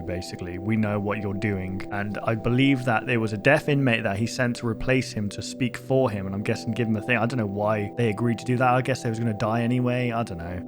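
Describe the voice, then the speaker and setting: A man hosting a reaction video in a casual, rambling, speculative way. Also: fairly low pitch.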